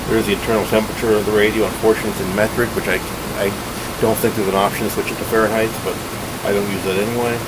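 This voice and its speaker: Behind this man's voice there is clearly audible noise, as in a homemade recording. His voice sits on the low side, and he talks casually and matter-of-factly. He rambles without a script, like a hobbyist showing off his gear. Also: flat delivery, very fast. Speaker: radio hobbyist presenting his equipment. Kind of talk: casual unscripted show-and-tell.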